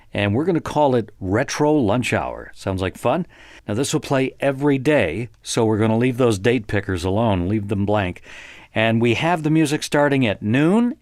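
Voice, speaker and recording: An American man narrating a software tutorial conversationally, explaining step by step in an informal, encouraging way. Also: mid-range voice, expressive, normal speed, no room sound, clean studio sound.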